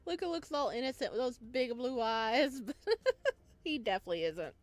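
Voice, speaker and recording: A woman's voice: fairly high pitch, lively intonation, normal speed, no echo. A woman reading a visual novel aloud expressively as a let's-player, with casual asides, on a close home microphone.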